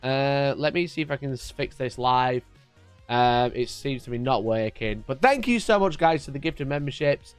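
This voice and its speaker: A man hosting a casual live stream, energetic and chatty, with a congested voice from a cold. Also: medium pitch, normal speed, smooth, faint music behind. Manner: energetic and chatty, repeating his greetings. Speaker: livestream host.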